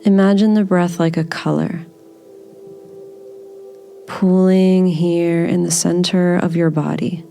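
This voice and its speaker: Low, slow, mellow woman guiding meditation. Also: little pitch movement, creaky, soft background music. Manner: gentle, soothing, unhurried. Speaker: meditation guide. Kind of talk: guided body scan meditation.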